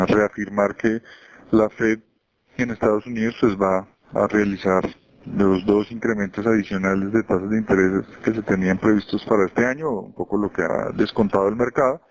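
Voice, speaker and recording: Low-voiced Colombian man explaining markets. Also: fairly even intonation, moderate pace, no room sound, poor audio. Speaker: investment executive. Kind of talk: market commentary on a conference call.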